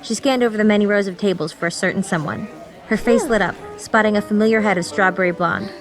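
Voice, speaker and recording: A woman delivers scripted story narration in a mid-range voice. She reads as an audiobook narrator. Music plays clearly behind her words. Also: medium pitch, quick pace, poor audio. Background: background music.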